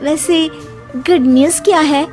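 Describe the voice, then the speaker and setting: A woman's voice: high-pitched, measured pace, darkish tone, faint music behind. A woman voicing a lazy, money-minded young daughter-in-law in a Hindi cartoon, with a drawling, playfully cheeky manner. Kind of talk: Hindi cartoon story dialogue.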